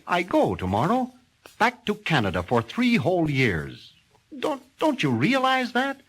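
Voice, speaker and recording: A man's voice: medium pitch, a bit bright, husky, pitched above the performer's own voice, full character voice, poor audio. A man voicing an eager young suitor who pleads in an urgent, stammering, insistent way in a spoken horror story.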